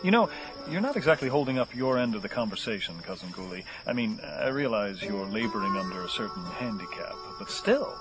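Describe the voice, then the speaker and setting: A menacing, unhinged fugitive for a horror radio play. His delivery is very animated, swinging widely in pitch, with mannered, mock-courteous phrasing. Music plays clearly behind him. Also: brisk, rough recording. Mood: rambling, sardonic. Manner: mock-polite, florid, mannered speech. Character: deranged, theatrical, menacing fugitive gunman quoting poetry. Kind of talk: audio horror drama acting.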